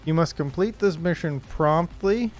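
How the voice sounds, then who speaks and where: A man hosting a casual game livestream, thinking aloud haltingly and improvising story prompts as he goes. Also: fairly high pitch, faint music behind. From Canada. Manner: halting, thinking aloud, frequent throat clearing. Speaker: livestream host.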